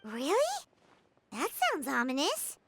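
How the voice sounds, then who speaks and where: A woman voicing a small, childlike fairy companion in a video game, perky, expressive, confident and curious. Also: high-pitched, a little slow, vocal fry, noisy background, exaggerated voice.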